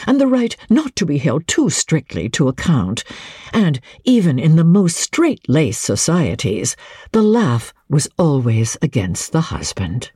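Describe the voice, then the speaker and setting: A woman reads classic literature in a dark, husky voice. Her delivery is dramatic and deliberate, with a widely varied melody that shapes each phrase. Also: sits low, no echo, studio quality. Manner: measured, expressive, deliberate phrasing. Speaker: audiobook narrator.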